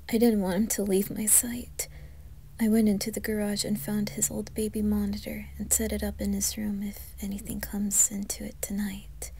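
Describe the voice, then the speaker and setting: A woman narrating a scripted horror story in the first person, theatrical and deliberate, turning breathy when hushed. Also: mid-range voice. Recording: music behind the voice. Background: music.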